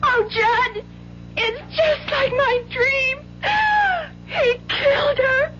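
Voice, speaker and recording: A woman's voice: very high voice, fairly even intonation, extremely slow, noisy background, exaggerated voice. A woman voicing a terrified, crying child in a 1970s radio melodrama. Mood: horrified, wailing. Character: terrified, wailing child of the couple. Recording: muffled radio broadcast with loud music.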